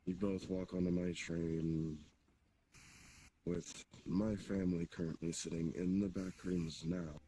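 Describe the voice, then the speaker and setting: A man's voice: sits low, little pitch movement, unhurried, dark tone, a little hiss or hum, poor audio. A man playing a proud, blunt, ancient demon king in an improvised online fantasy roleplay, coolly threatening and dismissive. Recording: background music under the voice.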